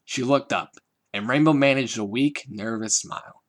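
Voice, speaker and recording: A man narrating a fan-written story aloud. His voice sits at an ordinary middle pitch and he reads in a steady, soft manner. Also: moderate pace. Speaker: fanfiction narrator. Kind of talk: audiobook-style story narration.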